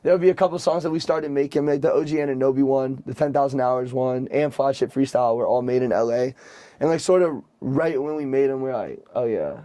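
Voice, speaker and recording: A man's voice: mid-range voice, fairly quick, mellow, no echo. A young man, a rapper, chatting casually on a podcast, rambling and leaning heavily on filler words. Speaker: rapper guest.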